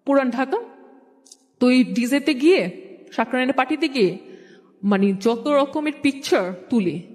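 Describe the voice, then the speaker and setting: A woman's voice: high voice, moderate pace, a little mellow. A young woman with a Bangladeshi accent telling a personal story in colloquial speech, acting out conversations as she goes. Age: young adult. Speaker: guest telling own life story. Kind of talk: personal storytelling with re-enacted dialogue. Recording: long echoing hall reverb.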